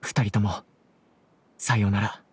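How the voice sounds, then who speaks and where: An actor playing a gentle, self-doubting young man in an anime game, earnest, soft-spoken and reflective. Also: lowish voice, level tone, a little slow, breathy edge, no echo. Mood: resigned. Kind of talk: anime video game voice acting.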